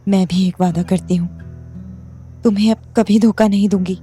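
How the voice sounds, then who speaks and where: A woman playing a remorseful young wife, soft and pleading, with a mellow, warm tone. A faint music bed plays beneath her. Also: mid-range voice, steady tone, moderate pace, natural voice. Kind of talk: Hindi audio drama acting.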